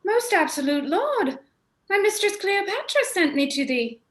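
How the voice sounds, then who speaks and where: An anxious, loyal messenger played by a woman in a Shakespearean radio play. The voice is high and bright, and the recording is noticeably roomy and echoing. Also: big pitch swings, normal speed, heavily acted. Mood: anxious, dutiful.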